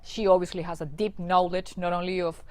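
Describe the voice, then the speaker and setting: A woman's voice: on the low side, level tone, a little fast, a little mellow. Spanish-native lady news anchor giving a testimonial, careful and praising. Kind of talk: video testimonial.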